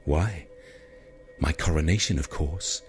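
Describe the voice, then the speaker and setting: A man voicing an ancient, domineering vampire with a cool, measured, menacing manner in an audiobook story. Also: very deep voice, soft background music, voice pushed down. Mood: smug, purring. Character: cold, domineering ancient male vampire, scheming and seductive.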